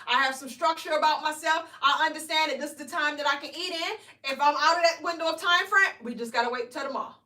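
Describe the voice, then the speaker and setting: A woman coaches viewers on a live stream, energetic and preachy, tagging "right?" onto her points. Her voice sits high. It echoes around the room, a little away from the mic. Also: far from the mic. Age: 40s. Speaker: weight loss coach. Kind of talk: live stream motivational talk.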